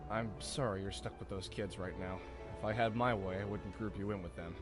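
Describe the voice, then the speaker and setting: A man's voice: lowish voice, fairly even intonation, a little fast, music in the background, lightly acted. A man playing a wry, easygoing detective in a visual novel, with a teasing, candid manner. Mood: sympathetic. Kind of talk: visual novel character voice read-aloud.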